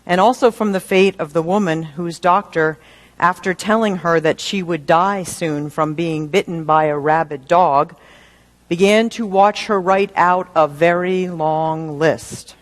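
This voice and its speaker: Female minister, sermon delivery, very unhurried and deliberate, pausing for effect. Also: lowish voice. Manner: deliberate, with dramatic pauses.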